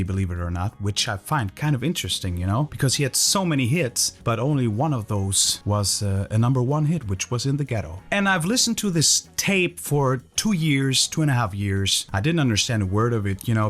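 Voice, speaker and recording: A German man in his thirties talks straight to his viewers, his accent plain as he drifts from one memory to the next. His voice sits at an ordinary middle pitch, and he leans on easy fillers like "you know" and "uh.". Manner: relaxed, loose, lots of "you know" and "uh" fillers. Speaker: Elvis tribute singer and channel host. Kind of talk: casual rambling storytelling to camera.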